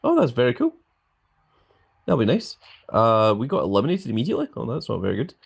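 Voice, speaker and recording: A man's voice: mid-range voice, huge pitch swings, fast talker, vocal fry, slightly rough. A young man live-streaming a mobile game, chatting casually and reading viewers' comments aloud with frequent ums.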